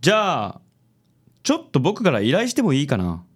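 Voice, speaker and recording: Suave, vain gentleman with theatrical swoops, acted by a man. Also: fairly high pitch, wildly swooping pitch, moderate pace, heavily acted. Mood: breezy. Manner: smooth, self-assured, affected. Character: suave, vain handsome company president, sprinkles English. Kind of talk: Japanese comic radio drama acting.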